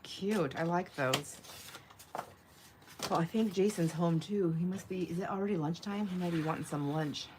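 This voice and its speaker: Low-pitched woman, casual craft livestreamer, slightly nasal. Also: moderate pace, background noise. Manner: rambling, thinking aloud. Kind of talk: casual live chat while crafting.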